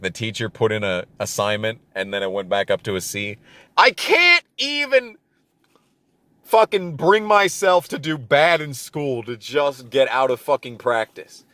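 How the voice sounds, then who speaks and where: A man's voice: mid-range voice, fairly bright tone, no echo. Profane, self-deprecating young man ranting casually. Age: young adult. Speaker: storytelling video creator. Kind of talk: casual personal storytime rant.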